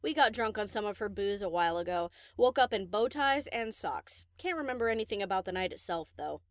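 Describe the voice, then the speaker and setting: A woman's voice: medium pitch, animated, fairly quick, no echo, studio quality, lightly acted. A woman voicing a brash, easygoing young DJ pony with casual, slangy speech in a story reading. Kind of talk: audiobook character acting.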